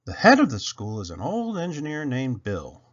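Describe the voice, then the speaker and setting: A man's voice: mid-range voice, huge pitch swings, moderate pace, lo-fi sound. A man reading a children's storybook aloud as narrator, emphatic and drawing out key words. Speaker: storybook reader.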